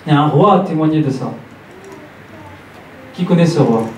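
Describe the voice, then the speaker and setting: Guy in his late 30s preaching a sermon, mellow tone, repeats stuff to drive it home, bit far from the mic w faint noise. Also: a little high, moderate pace. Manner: didactic, repeating phrases for emphasis. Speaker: pastor preaching in church. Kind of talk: Pentecostal sermon with pauses and repeated phrases. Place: church hall, preaching to a congregation. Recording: roomy church, distant mic, faint noise.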